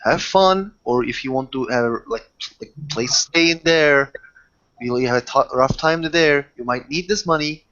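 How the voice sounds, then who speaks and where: A protective high-ranking werewolf played by a man at a gaming table, speaking at a middle pitch. He is earnest and insistent, circling back over his words haltingly, on a muffled lo-fi recording. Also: fairly bright tone, close to an everyday voice. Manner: halting, repetitive, earnest pleading. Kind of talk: improvised tabletop roleplay dialogue.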